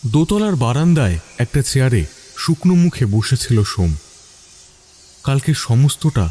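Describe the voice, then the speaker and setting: A male narrator with a mellow, medium-pitched voice and very expressive intonation tells a suspense story. He phrases deliberately, pausing for drama, over faint ambient noise.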